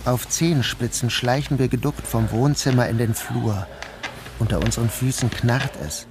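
An actor playing a troubled, dreamy grown son who narrates his surreal day in a measured, introspective way. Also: medium pitch, background noise, close to an everyday voice. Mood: brooding, introspective. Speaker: narrator and protagonist. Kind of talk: first-person literary radio play narration. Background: music.

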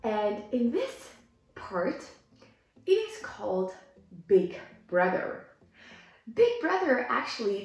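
A young woman teaching English to learners, reading aloud and explaining in simple, careful phrases with little check questions. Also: a little high. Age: young adult. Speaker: English teacher and video host. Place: small bare indoor room. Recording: echoey bare room, music behind.

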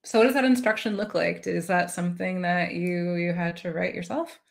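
A woman in her thirties presenting a software demo in a conversational way on a video call. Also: lowish voice, fairly even intonation, moderate pace, creaky. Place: small indoor room on a video call.

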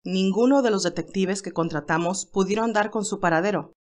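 A woman's voice: medium pitch, a little fast, natural voice. A woman voicing a loyal, anxious male assistant in an audiobook, formal and deferential. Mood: anxious concern.

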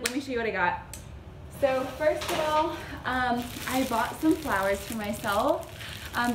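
Chatty young woman with room noise. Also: medium pitch. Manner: chatty, upbeat, lots of fillers. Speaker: vlogger. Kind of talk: casual grocery haul chat. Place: small indoor room at home.

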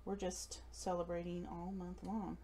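A chatty woman in her late thirties walks through a craft project at her table, tossing in giggly, self-deprecating asides. Her voice has a gravelly edge, and faint room noise hums in the background. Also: on the low side, level tone, measured pace, warm tone. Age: late 30s. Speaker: craft store demonstrator. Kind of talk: live craft demonstration. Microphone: overhead camera above table.

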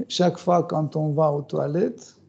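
A middle-aged man giving a scripture class in a small room, speaking slowly and hesitantly with many fillers and soft chuckles. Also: fairly high pitch, steady tone, creaky, breathy edge. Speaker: spiritual teacher. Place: small room with bookshelves, indoors. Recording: dull, muffled recording.

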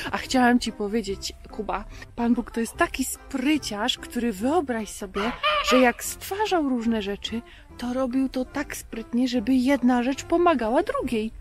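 A woman in her thirties hosting a children's Bible show, telling stories in simple, conversational words with pauses. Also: fairly high pitch, expressive, soft background music. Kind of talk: storytelling to a puppet for children. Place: outdoors in a garden.

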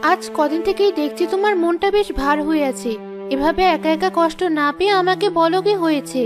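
A woman's voice: very high-pitched, fairly quick. A woman voicing a patient, worried village wife and mother in a cartoon, in a soothing, concerned manner. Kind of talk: cartoon dialogue dub. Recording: music under the voice.

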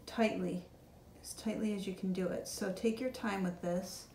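A woman's voice: mid-range voice, steady tone, moderate pace, warm tone, roomy sound, slight background noise. A woman hosting a home baking video, chatting to viewers as she shows each step in her kitchen. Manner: chatty and encouraging, talks to viewers as "you guys". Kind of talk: casual step-by-step baking demo.

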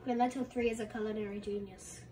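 A woman's voice: mid-range voice, fast, distant mic, close to an everyday voice, low-quality recording. A woman voicing a boastful alien who speaks of itself in the third person, with a Russian accent. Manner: refers to self in third person, proud and deadpan. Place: bedroom with a computer.

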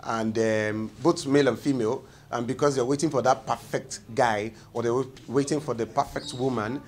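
A man in his thirties with a Nigerian accent, explaining in an interview and pausing to search for words. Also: mid-range voice, fairly even intonation, slight background noise. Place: indoor room.

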